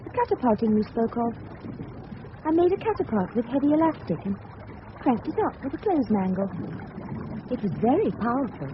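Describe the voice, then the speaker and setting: An actress playing a desperate married English murderess in a 1930s radio mystery, halting and dramatic in delivery. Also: female voice, fairly high pitch, sing-song. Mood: resigned. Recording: muffled, noisy old radio recording.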